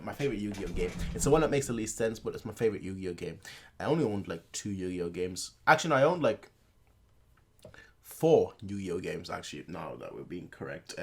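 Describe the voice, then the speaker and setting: A man's voice: lowish voice, slightly rough. A young man hosting a reaction video, talking in a loose, rambling way with lots of filler words. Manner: rambling, heavy use of filler words and restarts. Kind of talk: casual rambling chat.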